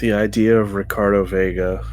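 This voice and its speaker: A man's voice: fairly low pitch, flat delivery, moderate pace, darkish tone. A man playing a tabletop game, chatting casually in an irreverent, sweary way. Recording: clear music behind the voice.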